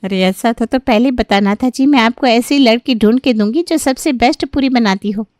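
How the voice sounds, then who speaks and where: A woman's voice: fairly high pitch, normal speed, a little mellow, no echo, studio quality, lightly acted. A woman playing a fretful, chatty middle-aged auntie in a cartoon story. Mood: persuasive.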